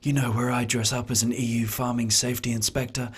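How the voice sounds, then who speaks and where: Guy doing a dramatic middle-aged farm wife, really husky n breathy, spoof radio soap. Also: mid-range voice, little pitch movement, quick pace, close to an everyday voice. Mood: troubled. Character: melodramatic discontented farmer's wife in her forties. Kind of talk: parody radio soap acting.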